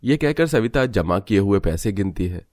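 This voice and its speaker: A man's voice: lowish voice, lively intonation, moderate pace, a little mellow, faint music behind. A man reading a scripted story as its narrator.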